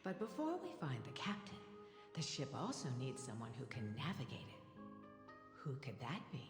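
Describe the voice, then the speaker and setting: A gentle mother from a fantasy video game, telling stories to her child. Her voice is low and soothing, with an unhurried, tender delivery. It rings in a long reverb while music plays clearly behind her. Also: huge pitch swings, exaggerated voice. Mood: gentle, wistful. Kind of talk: soft storytelling in game voice acting.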